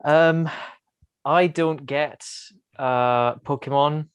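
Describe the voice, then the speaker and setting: Clear, smooth-voiced man chatting. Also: fairly high pitch, steady tone, very slow, dry recording, lo-fi sound. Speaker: podcast panellist. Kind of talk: casual chat.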